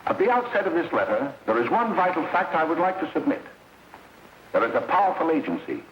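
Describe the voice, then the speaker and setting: A man's voice: a little high, big pitch swings, brisk. An American man acting in a 1930s film as a stern, alarmist school official, addressing parents in grave, emphatic, formal oratory. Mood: solemn, formal. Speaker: speaker addressing a parents' meeting. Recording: dull, noisy 1930s film soundtrack, roomy echo.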